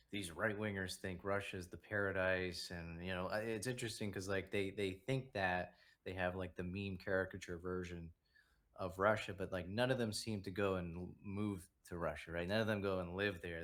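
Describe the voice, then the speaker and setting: Rambling deep-voiced stream host. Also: male voice, fairly bright tone. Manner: rambling, many "like" and "right?". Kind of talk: casual chat.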